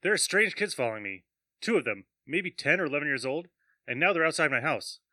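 A man's voice: a little high, lively intonation, fairly quick, no room sound, studio quality, exaggerated voice. A man acting a jittery, skeptical man who is spooked and agitated, in an amateur audio drama. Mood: nervous. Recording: loud music over the voice.

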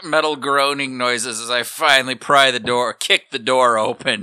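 Brash man with a very bright voice. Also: medium pitch, fairly even intonation, normal speed, smooth, no echo. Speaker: tabletop game player. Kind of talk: casual in-character play and action description.

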